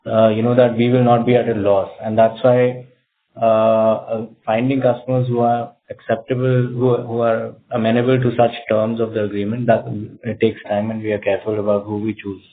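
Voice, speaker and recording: A company executive, a man, answering on an earnings call in a measured, explanatory, hesitant way over a tinny phone line. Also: lowish voice, monotone. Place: conference call. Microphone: telephone.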